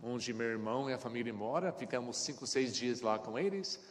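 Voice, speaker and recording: A man's voice: mid-range voice, brisk, big-room sound. A middle-aged man, a pastor with an American accent and English as his first language, preaching a sermon in Portuguese in short phrases with small grammar slips and a frequent "tá?". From United States. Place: church sanctuary.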